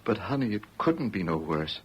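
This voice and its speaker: A man's voice: fairly low pitch, animated, normal speed, breathy edge, low-quality recording. A man playing an anxious, despairing pioneer husband with plain folksy rural speech in an old-time radio western. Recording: hissy vintage radio recording.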